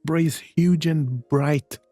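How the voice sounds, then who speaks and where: A streamer giving casual, halting reactions to a game in muttered asides. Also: medium pitch, steady tone, mellow, dry recording, faint music behind.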